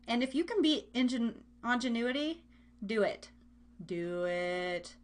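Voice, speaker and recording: A woman's voice: fairly high pitch, lively intonation, takes it slowly. A woman hosting a live online radio show, chatting casually with listeners in a giggly, rambling, playful way, often repeating phrases.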